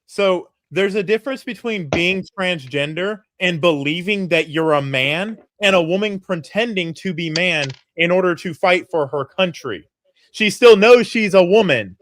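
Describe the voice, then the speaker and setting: A man's voice: high voice, lively intonation, unhurried, a bit bright, slight background noise. Blunt profane man in his twenties. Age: 20s. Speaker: podcast co-host. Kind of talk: casual panel chat.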